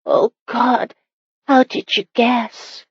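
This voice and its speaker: Casting: anxious young medieval wife played by a female narrator, emotional and stubborn, husky breathy voice, dry muffled audiobook sound. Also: a little high, steady tone, extremely slow, no echo, pitched above the performer's own voice, heavily acted. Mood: anxious, pleading.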